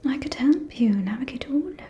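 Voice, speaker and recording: A woman playing a scheming, falsely caring aristocratic vampire in a whispered ASMR roleplay, with a soft, breathy, silky and insinuating delivery. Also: sits high, expressive, muffled recording. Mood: falsely concerned. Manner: slow, silky, insinuating, condescending.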